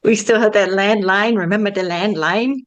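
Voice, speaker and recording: A woman's voice: medium pitch, normal speed, dull audio. Chatty, joking woman guest. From Netherlands. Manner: chatty, jokey, many fillers. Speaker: guest, spiritual coach. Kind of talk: casual interview chat.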